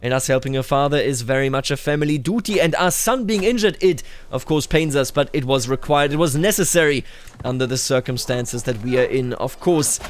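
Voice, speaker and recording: A man's voice: medium pitch, brisk. A man giving improvised roleplay game commentary, musing aloud with repeated emphasis and a mix of stately wording and casual asides. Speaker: gaming video host. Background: background music.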